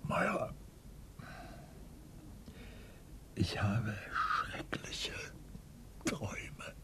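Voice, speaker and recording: An actor playing a weary, guilt-ridden craftsman in his sixties in a German radio play, halting and sighing, often sinking into breathy whispers. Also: lowish voice, big pitch swings, bright, forceful tone, full character voice. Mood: exhausted, haunted. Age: 60s. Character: weary, guilt-ridden man of sixty-four, burnt-out taxidermist.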